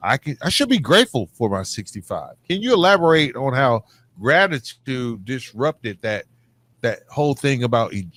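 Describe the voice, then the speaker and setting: A man's voice: medium pitch, sing-song, moderate pace, fairly bright tone, no room sound. An American man hosting a podcast, interviewing in a casual, colloquial way. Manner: informal, colloquial. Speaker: podcast host.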